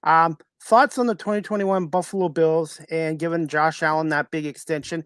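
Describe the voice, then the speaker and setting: Male talk show interviewer, slightly high voice, hesitant casual phrasing, dry recording. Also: steady tone, normal speed, a bit bright, clean tone, no echo. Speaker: sports talk show host.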